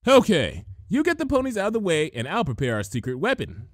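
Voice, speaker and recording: A man voicing a brisk, bossy female pony with a plan in a cartoon-based story. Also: fairly high pitch, wildly swooping pitch, dry recording, clean studio sound, voice pushed up. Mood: confident.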